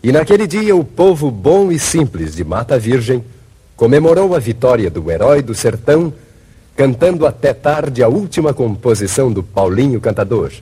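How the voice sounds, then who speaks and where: A man's voice: medium pitch, dark tone, slightly rough. A man with a Brazilian accent narrating a 1950s radio serial in a dramatic storytelling style. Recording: muffled vintage radio recording with music.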